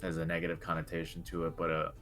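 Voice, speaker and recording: A man co-hosting a video game discussion, speaking casually and haltingly as he thinks aloud. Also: low-pitched, monotone, fairly bright tone, soft background music.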